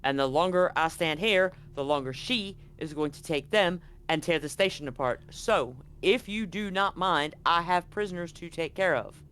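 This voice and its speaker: A man's voice: fairly high pitch, normal speed, hardly any pauses, fairly bright tone, clear, resonant tone, pitched above the performer's own voice, natural voice. Man playing a curt, harried prison station warden. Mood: impatient. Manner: curt, insistent. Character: harried, defiant male station warden among unruly prisoners.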